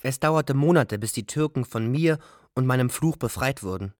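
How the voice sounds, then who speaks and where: An actor playing a young refugee with wry, fatalistic wit, narrating in a radio drama. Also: male voice, mid-range voice, lively intonation, normal speed, no echo, clean studio sound, close to an everyday voice. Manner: wry, ironic.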